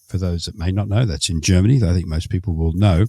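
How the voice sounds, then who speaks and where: Low-voiced man hosting a podcast. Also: quick pace, mellow. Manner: chatty, self-correcting questions. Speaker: podcast host. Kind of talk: casual interview.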